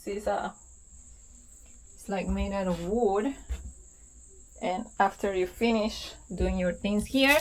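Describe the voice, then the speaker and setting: A pregnant woman, speaking slowly and enthusiastically, repeating words as she narrates her travel vlog. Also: mid-range voice, slight background noise, low-quality recording. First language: Spanish. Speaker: travel vlogger. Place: outdoors at a lodge.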